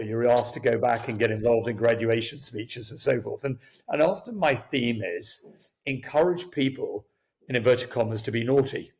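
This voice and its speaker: An older man raised in Kenya whose first language was Swahili, telling lively stories as an interview guest, chuckling and restarting sentences. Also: mid-range voice. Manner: animated, chuckling, many restarts. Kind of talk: storytelling interview talk.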